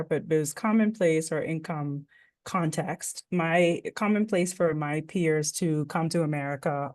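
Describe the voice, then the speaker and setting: Low-voiced woman from the Bahamas thinking aloud. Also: a little mellow. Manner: thinking aloud, drawn-out, with many ums. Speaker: panelist. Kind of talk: casual panel discussion.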